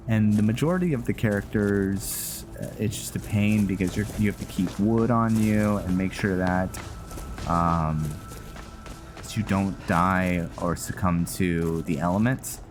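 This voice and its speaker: A man giving casual, rambling gameplay commentary, halting often as he thinks aloud. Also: low-pitched. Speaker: gaming video host. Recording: music behind the voice. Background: game music.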